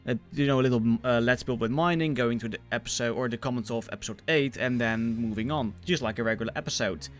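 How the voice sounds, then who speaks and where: A man's voice: medium pitch, quick pace. Young Dutch-accented man over background music. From Netherlands. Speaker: gaming video host. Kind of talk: casual gaming commentary.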